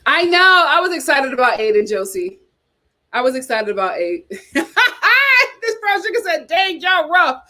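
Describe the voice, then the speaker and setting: A woman's voice: sits high, big pitch swings, moderate pace, pushed and bright. A woman hosting a casual reality TV chat, reading viewer comments aloud with dramatic exclamations.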